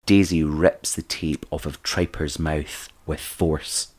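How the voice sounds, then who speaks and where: A man's voice: very deep voice, fairly even intonation, slow, darkish tone. A man reading scripted narration for an audio drama, describing the scene as narrator.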